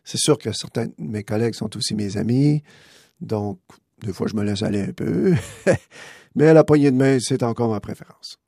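A man's voice: mid-range voice, normal speed, vocal fry, mellow, breathy edge, no room sound, studio quality. A man with a Québécois accent from Abitibi, giving a hesitant, thinking-aloud answer as a listener. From Abitibi, Quebec. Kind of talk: casual on-air answer.

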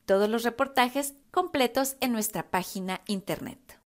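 A woman's voice: a little high, moderate pace. Woman reading news reports. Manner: clear, friendly newsreader. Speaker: radio correspondent.

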